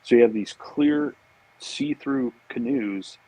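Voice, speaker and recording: A man's voice: medium pitch, takes it slowly, dark tone, slightly rough, low-quality recording. An American man chatting casually as a podcast guest, laid-back and informal. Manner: laid-back, informal, repeats agreement words. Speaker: podcast guest, fishing industry marketer. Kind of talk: casual chat.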